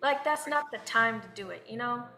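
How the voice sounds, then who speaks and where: A woman's voice: fairly high pitch, moderate pace, a crowd in the background. A woman speaking as a guest on a panel, reflective and loose, with many fillers. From United States. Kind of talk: panel discussion talk. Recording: echoey room.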